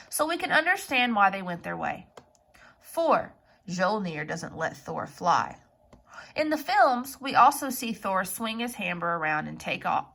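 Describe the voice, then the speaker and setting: A woman's voice: fairly low pitch, vocal fry, bright, forceful tone. A woman reading an article aloud in an informal way, sometimes stumbling over words and correcting herself. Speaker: reader of an article. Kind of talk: article read aloud with off-the-cuff asides.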